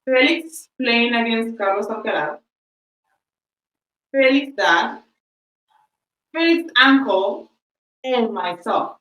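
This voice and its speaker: A woman's voice: on the high side, animated, slow, long pauses, fairly bright tone, reverberant, recorded from a distance, rough recording. A woman whose first language is Spanish, telling a story on a podcast in a chatty way, acting out the quoted exchanges. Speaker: podcast host.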